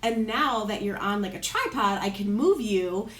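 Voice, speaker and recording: A woman's voice: mid-range voice, animated, normal speed, a bit bright, echoey room. A woman in her thirties with a Midwestern accent, talking casually to her camera at home while congested from a cold, a bit nasal. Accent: Midwestern American. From Midwestern United States. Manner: chatty, rambling with fillers. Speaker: lifestyle vlogger. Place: home room by a window. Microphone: camera on a small tripod.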